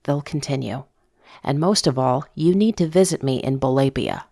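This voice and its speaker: A woman's voice: a bass voice, normal speed, gravelly, warm tone, no echo, studio quality, full character voice. A woman voicing an earnest, tender middle-aged father in an audio drama, reflective and fatherly in manner. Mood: hopeful, warm.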